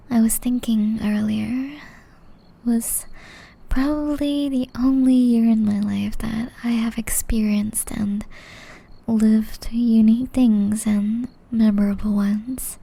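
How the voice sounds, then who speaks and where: A woman voicing a gentle, caring young witch in love, whispering softly and tenderly in an ASMR romance roleplay. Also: a little high, full character voice. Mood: earnest, loving. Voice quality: soft, breathy whisper. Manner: slow, tender, intimate delivery.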